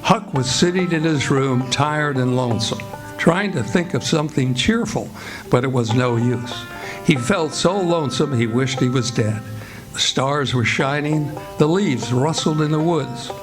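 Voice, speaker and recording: A man narrating old-time radio theatre as announcer, with measured, theatrical, presentational delivery. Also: mid-range voice, low-quality recording. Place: live stage before an audience. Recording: music behind the voice, live stage performance. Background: music.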